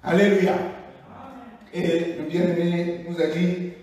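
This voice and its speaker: Man, pastor, fiery sermon full of alleluias, fairly high voice, picked up from afar in a big reverberant church hall. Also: flat delivery, slow. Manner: emphatic preaching, frequent alleluias, shifts between loud and hushed. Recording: echoey church hall, voice from far.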